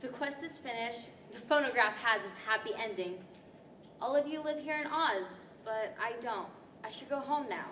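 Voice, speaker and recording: Woman playing a high-voiced, polite storybook girl, echoey. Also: animated, normal speed, fairly bright tone, slight background noise. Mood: polite, wistful. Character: Alice, polite, earnest storybook girl on adventures. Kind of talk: 1940s radio-style stage acting. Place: large library event room, standing microphones. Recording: echoey hall, distant microphone.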